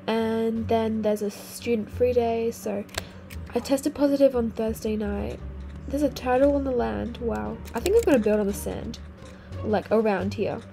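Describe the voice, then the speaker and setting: Croaky teen girl playing a block game. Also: a little high, warm tone. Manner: chatty and easily distracted, exclaiming at finds. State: croaky voice from a cold. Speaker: gaming video host. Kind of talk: casual game commentary. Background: faint game music.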